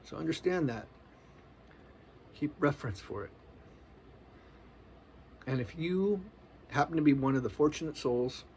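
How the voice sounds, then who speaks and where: A man's voice: medium pitch, darkish tone, slight background noise. A man in his forties giving a loose, earnest spiritual monologue, casual and full of slang. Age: 40s. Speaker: spiritual vlogger.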